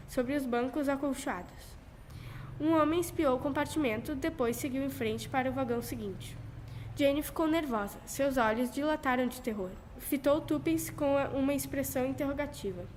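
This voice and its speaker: Girl narrator, early teens, high voice, scripted radio serial read, faint noise on an amateur recording. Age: young teenager. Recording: noisy amateur school recording.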